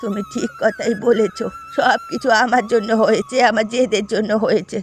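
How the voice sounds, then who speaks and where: A woman's voice: on the high side, huge pitch swings, moderate pace. A woman playing a doting, long-suffering ageing village mother in a Bengali cartoon, speaking earnestly and plaintively. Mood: sorrowful. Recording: background music under dialogue.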